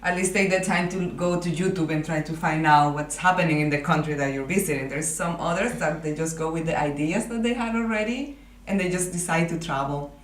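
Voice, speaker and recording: A woman's voice: low voice, fairly quick. A young woman speaking as a podcast host in a conversational way. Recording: echoey room, music underneath.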